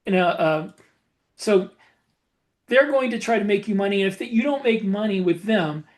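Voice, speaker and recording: A man's voice: high voice, animated, normal speed. A man co-hosting a podcast, explaining things casually in a blunt, opinionated way. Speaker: podcast co-host.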